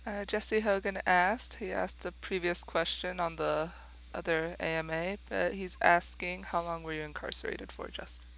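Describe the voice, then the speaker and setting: A woman's voice: lowish voice, steady tone, normal speed, creaky, hardly any pauses, a bit bright, low-quality recording. A woman from Mexico, a native Spanish speaker, reading viewer questions as co-host with brief laughing asides.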